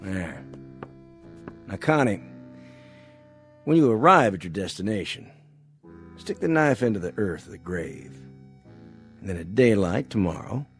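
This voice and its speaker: An American actor playing a calculating, commanding saloon gambler in an old television western, giving deliberate instructions. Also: male voice, fairly low pitch, sing-song. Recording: vintage TV soundtrack, faint music underneath.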